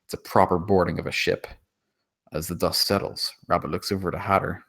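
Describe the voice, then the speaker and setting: Man, very deep voice, scripted narration, screenplay table read, stage directions. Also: level tone, a little fast, a bit bright.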